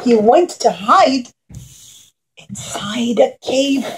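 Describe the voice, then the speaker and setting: High-pitched woman telling children a story. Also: animated, drawn-out words, low-quality recording. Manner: simple short phrases, addresses children directly. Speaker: children's puppet show storyteller. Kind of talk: animated storytelling to young children.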